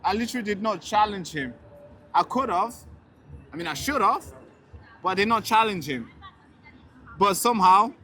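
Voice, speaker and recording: A man's voice: high voice, lively intonation, moderate pace, long pauses, pushed and bright, slight background noise. A young man from Tanzania, a street interviewer and show host, talking indignantly outdoors and repeating words when he gets heated. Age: young adult. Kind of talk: casual street talk and direct-to-camera rant. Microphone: handheld camera mic.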